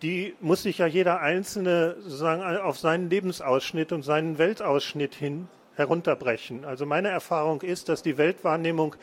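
A man's voice: a little high, normal speed, few pauses. A man moderating a panel discussion, asking long, abstract, winding questions.

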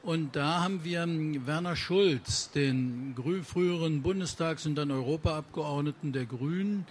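Slow, hesitant male moderator. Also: a little high, a little hiss or hum. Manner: hesitant, many filler sounds. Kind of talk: introducing speakers at a book presentation.

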